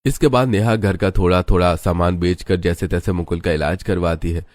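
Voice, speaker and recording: Very deep-voiced male story narrator. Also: fairly even intonation, normal speed, darkish tone, slight background noise. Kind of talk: scripted Hindi moral story narration.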